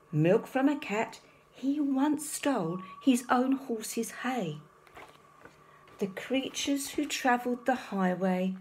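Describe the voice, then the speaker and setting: A woman reading a rhyming children's storybook aloud in an expressive narrating style. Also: on the high side, a little hiss or hum.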